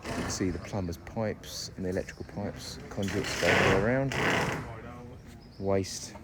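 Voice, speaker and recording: Low-voiced man touring a building site. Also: measured pace. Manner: chatty, explains step by step with many ums. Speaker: homeowner vlogging his house build. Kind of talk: casual walk-through explanation. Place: outdoor construction site. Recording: loud outdoor site noise.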